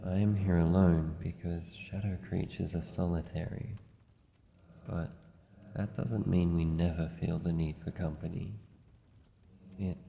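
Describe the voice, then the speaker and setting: An Australian man voicing a solitary shadow monster in a fantasy ASMR roleplay, formal, deliberate, wary but gentle. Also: low voice, gravelly. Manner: slow, deliberate, formal phrasing. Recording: dull muffled audio, long reverb, noisy ambience.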